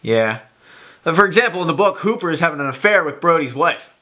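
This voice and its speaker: A man off camera reading the part of a calm, seasoned actor in a plain, matter-of-fact way. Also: fairly high pitch, quick pace. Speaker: off-camera scene reader. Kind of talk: reading lines in a scene.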